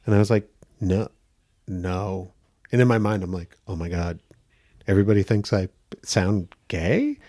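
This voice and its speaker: Male guest actor, soft higher-pitched voice, lively anecdotes, quick laughs. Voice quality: slightly high, gentle voice. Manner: animated storyteller, laughs often, acts out quotes. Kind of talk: casual podcast chat.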